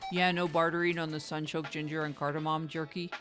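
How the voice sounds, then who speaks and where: Woman as low, deadpan, unbudging store clerk. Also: fairly quick, soft background music. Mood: bored, firm. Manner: deadpan, monotone. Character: flat, unbudging bulk food store clerk. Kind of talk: improvised comedy audio drama.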